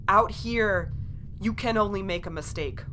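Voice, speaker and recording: A woman playing a stern, pragmatic spaceship pilot in an audio drama, firm, blunt and measured. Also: pushed and bright. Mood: grave, blunt. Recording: faint noise with low rumble.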